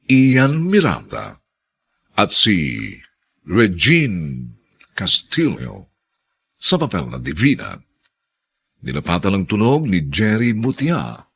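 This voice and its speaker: A man's voice: fairly low pitch, vocal fry, a bit bright, slightly rough. A man with a Filipino accent delivering solemn, theatrical scripted narration as a radio drama announcer. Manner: solemn, theatrical, drawn-out emphasis.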